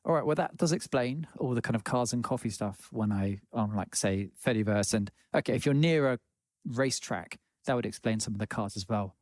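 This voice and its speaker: A man's voice: mid-range voice, fairly quick, darkish tone, no echo, studio quality. A man with an English accent hosting a podcast interview, chatty and a little hesitant. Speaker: podcast host. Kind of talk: casual interview.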